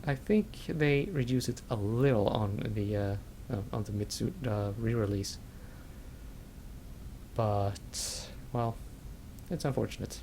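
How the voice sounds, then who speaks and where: A man giving casual let's play commentary, halting and thinking aloud in fragments. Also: lowish voice, darkish tone, slight background noise.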